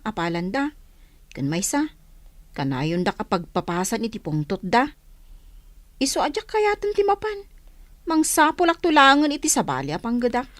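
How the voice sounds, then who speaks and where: A woman playing an excitable, grumbling young working student in an Ilocano comedy radio drama. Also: fairly high pitch, dry recording.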